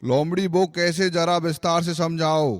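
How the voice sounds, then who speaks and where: A man voicing a solemn, fair-minded lion king in a cartoon fable, speaking in a measured, authoritative way. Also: on the high side, fairly even intonation, faint music behind, lightly acted. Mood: questioning. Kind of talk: animated moral story acting.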